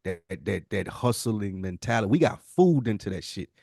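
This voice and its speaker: A man's voice: on the low side, lively intonation, brisk, creaky, warm tone, a little hiss or hum. A middle-aged man hosting a casual podcast discussion, conversational and slangy with some swearing. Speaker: podcast host.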